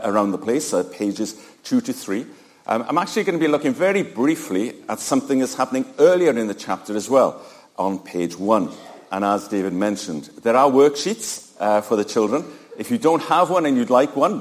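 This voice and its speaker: A man's voice: medium pitch, darkish tone. Chatty male preacher with fillers. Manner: conversational, with frequent fillers. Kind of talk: sermon.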